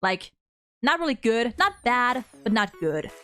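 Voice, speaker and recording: A woman hosting a casual, unscripted opinion chat about games, rambling and thinking aloud in halting phrases. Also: on the high side, sing-song, pushed and bright, soft background music. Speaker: host of a video game ranking video.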